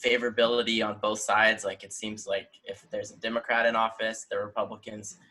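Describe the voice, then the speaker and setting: A man's voice: fairly low pitch, flat delivery, brisk, bright, forceful tone. A man in his twenties with an American accent, hosting a casual discussion podcast over a video call. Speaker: host. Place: indoor room at home, video call.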